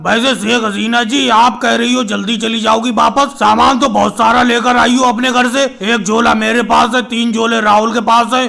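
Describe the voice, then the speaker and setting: A man voicing chatty, bickering male politician caricatures in an animated comedy skit, with a creaky voice. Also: extremely high pitch, normal speed, few pauses, full character voice. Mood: earnest, hospitable.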